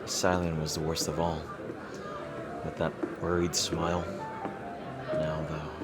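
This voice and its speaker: A man playing a guarded, flustered, gently teasing rival fantasy king in an intimate roleplay audio drama, speaking hesitantly. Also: extremely low pitch, monotone, over loud music. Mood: earnest, subdued. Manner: hesitant, halting.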